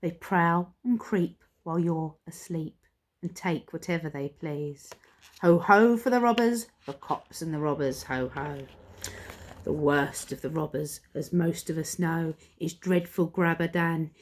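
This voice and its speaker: A woman's voice: low-pitched, unhurried, slight background noise. A woman reading a rhyming picture book aloud to children in a theatrical, playful way. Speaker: primary school storyteller.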